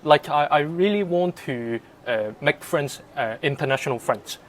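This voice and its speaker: A man's voice: fairly high pitch, animated, normal speed. A man in his twenties from Hong Kong with a Hong Kong accent, Cantonese native, answering interview questions hesitantly with many fillers and restarts. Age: 20s. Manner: laughs easily. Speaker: guest. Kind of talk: casual interview.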